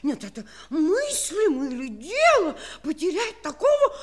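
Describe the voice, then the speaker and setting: A woman's voice: very high-pitched, huge pitch swings, unhurried, heavily acted. A woman playing an indignant mother in a children's audio play, melodramatic and lamenting. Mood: indignant wailing. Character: indignant, wailing mother, a number eight.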